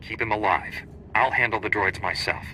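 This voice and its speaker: An actor playing a steady, confident heroic Jedi warrior in a video game, assured and direct. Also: male voice, on the low side, moderate pace, a little hiss or hum.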